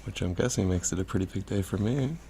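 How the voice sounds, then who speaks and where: An actor playing an easygoing, teasing lover in an intimate audio drama, laconic and low-key. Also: male voice, fast, warm tone, slight background noise. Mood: relaxed, teasing.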